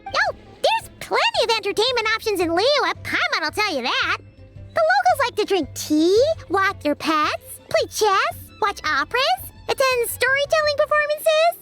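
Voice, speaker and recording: Squeaky, childlike little fairy companion. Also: female voice, very animated, measured pace, full character voice. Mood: chatty, perky. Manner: refers to self in third person, perky. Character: tiny chirpy floating fairy companion, childlike, excitable. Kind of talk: video game character acting. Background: background music.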